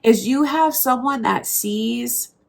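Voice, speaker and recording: A woman's voice: on the high side, slow pace, gravelly, darkish tone. Stuffy-nosed woman giving a tarot reading. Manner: conversational, reassuring, talks straight to the viewer. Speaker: tarot reader. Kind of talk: casual tarot reading to camera.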